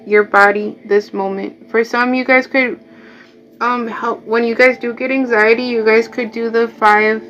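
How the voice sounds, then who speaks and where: A woman's voice: on the high side, fairly bright tone, slight background noise. A woman from Mexico with a Mexican Spanish accent, a native Spanish speaker, giving a chatty live tarot reading and drifting into asides. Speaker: tarot reader. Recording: dull lo-fi audio, faint music.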